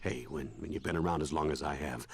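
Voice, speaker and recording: An actor voicing a gruff, seasoned older grandfather in a cartoon, with a gravelly, unhurried delivery. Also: rumbling low. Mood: knowing. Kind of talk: cartoon voice acting. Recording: cartoon clip with music behind.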